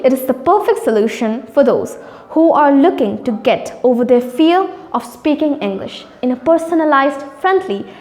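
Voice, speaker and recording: A woman from India, hosting her channel and reading a smooth, upbeat promotional narration. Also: high-pitched, a little mellow. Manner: upbeat, smooth, evenly read. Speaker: channel host. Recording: echoey roomy reverb.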